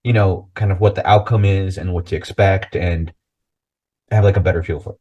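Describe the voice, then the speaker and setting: A man's voice: sits low, steady tone, moderate pace, highs cut off. A man hosting a casual podcast chat. He is easygoing and joking, and he laughs along with his guest. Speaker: podcast host.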